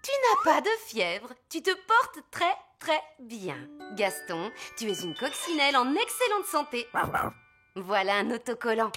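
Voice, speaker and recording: Kindly cartoon vet mum: fairly high, sing-song lively, reassuring, music behind. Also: female voice, a little slow, full character voice. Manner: coaxing, reassuring. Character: kindly, reassuring mother who is a veterinarian. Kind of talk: French cartoon dub acting.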